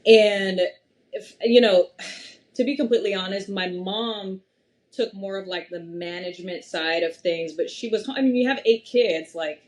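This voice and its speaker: A young woman from Massachusetts, a podcast guest, talking at length in an animated, storytelling way. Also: medium pitch, measured pace. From Massachusetts, USA. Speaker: podcast guest, wealth strategist. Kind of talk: casual interview chat.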